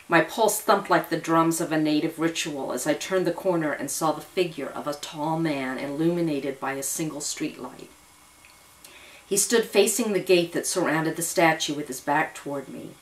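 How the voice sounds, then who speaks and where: A woman's voice: low-pitched, low-quality recording. A woman narrating a dramatic first-person story as a storyteller. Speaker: storyteller reading own serial story.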